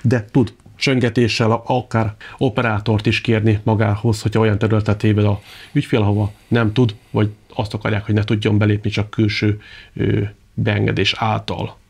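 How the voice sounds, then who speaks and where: Slightly low-voiced man presenting products. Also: level tone, vocal fry.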